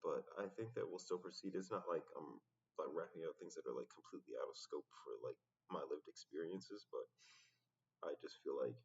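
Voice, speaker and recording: A man talking in a casual, reflective solo vlog, halting and often pausing mid-sentence. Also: very deep voice, gravelly, husky. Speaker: rapper vlogging his progress. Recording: dull, muffled lo-fi sound.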